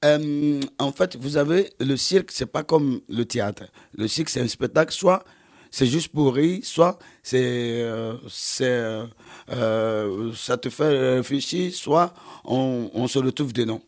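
A man from Nigeria whose first language is English, speaking French as a festival organizer in an interview, halting with many fillers and restarts. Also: mid-range voice, expressive, a little mellow. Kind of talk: radio interview.

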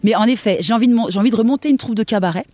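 A middle-aged woman giving a candid personal account in an earnest, halting way, thinking aloud. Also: on the high side, mellow, dry recording. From Portuguese family background. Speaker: interview guest, dancer and choreographer.

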